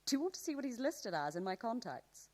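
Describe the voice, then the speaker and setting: Female radio-play villain, scornful and sarcastic, very animated pitch, theatrical gloating. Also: fast.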